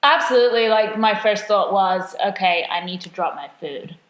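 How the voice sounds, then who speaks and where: A chatty, self-deprecating woman co-hosting a podcast, her voice sitting at an ordinary middle pitch. She talks freely and often laughs at herself. The room around her rings with a noticeable echo. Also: moderate pace, a bit bright. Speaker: podcast co-host and nutrition coach. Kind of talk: casual podcast chat. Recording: roomy echo.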